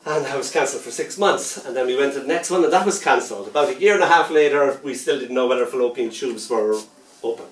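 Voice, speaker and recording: A man reading his poetry aloud, with a rhythmic, playful delivery that softens into gentle lullaby lines. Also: mid-range voice, fast, slight background noise. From Ireland. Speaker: poet. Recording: roomy sound from a distant mic.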